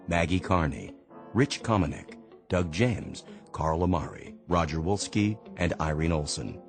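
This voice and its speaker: Very low-voiced male radio announcer. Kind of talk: scripted radio announcement and credits.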